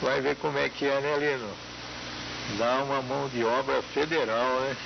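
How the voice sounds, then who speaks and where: A muffled radio transmission with clear hiss and buzz carries a Brazilian man's gravelly voice. He chats casually as an amateur radio operator, friendly and talkative, often tagging his phrases with little questions. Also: mid-range voice, fairly even intonation, moderate pace. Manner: friendly, chatty, frequent tag questions. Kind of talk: casual ham radio chat with greetings and call signs.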